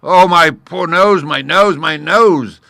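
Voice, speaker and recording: A man's voice: very animated, slow, a bit bright, dry recording, clean studio sound. A man doing a roaring, boastful lion king. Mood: wailing. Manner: roaring, blustering, booming. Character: boastful proud male lion, king of the forest.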